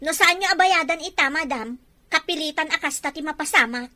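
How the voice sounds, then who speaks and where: A woman acts a wronged young street vendor in a radio drama. Her high voice is forceful and close, in a dry recording, as she stands her ground indignantly. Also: a little fast, no echo. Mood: firm, pleading. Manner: firm, indignant, respectful address. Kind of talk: Filipino Ilocano radio-style drama acting.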